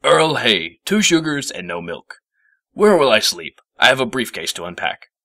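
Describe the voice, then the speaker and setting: A man's voice: mid-range voice, sing-song, moderate pace, pushed and bright, voice pushed up. A man voicing a pompous, gloomy old male unicorn professor, curt and formal, in a storybook reading. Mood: curt, imperious. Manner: haughty, imperious, formal. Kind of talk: storybook character voice.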